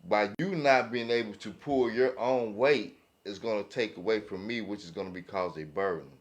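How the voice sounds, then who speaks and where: A man's voice: fairly low pitch, moderate pace. A man in a casual, opinionated group chat, speaking emphatically and often checking that listeners follow him. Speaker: podcast panelist.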